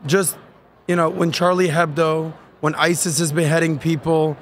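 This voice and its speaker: A man in his forties with a high voice hosts a casual podcast chat, throwing in quick questions. He talks into a desk mic at a convention booth, with faint noise in the background. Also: little pitch movement. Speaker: podcast host. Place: convention booth in a large indoor hall.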